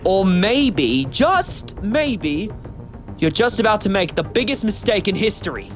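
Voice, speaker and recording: An actor playing an eccentric, clever time-travelling adventurer in a fan audio drama, theatrical, with musing hesitations. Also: male voice, sits high, very animated, a little slow, faint music behind. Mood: grave warning.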